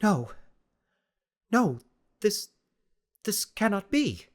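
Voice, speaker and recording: A man voicing an earnest, anxious gentleman explorer in an audiobook, speaking formally and stammering. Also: sits high, sing-song, very slow, voice pushed up, heavily acted. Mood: distraught.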